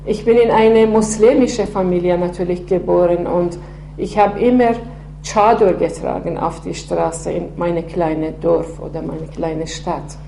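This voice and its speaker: A woman in her sixties from Iran, speaking German with an Iranian accent, telling her life story haltingly with many fillers. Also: on the low side, steady tone, creaky, mellow. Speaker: interviewee. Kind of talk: personal testimony. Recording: echoey room with faint hum.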